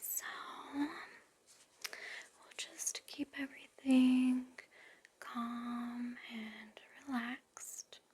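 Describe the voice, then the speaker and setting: A woman playing a gentle, attentive nurse in an ASMR roleplay, speaking in a breathy, reassuring whisper. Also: fairly high pitch, fairly even intonation, a little hiss or hum. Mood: gentle, soothing. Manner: slow, soothing, reassuring. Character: gentle, soothing, attentive female recovery nurse. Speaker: ASMR performer.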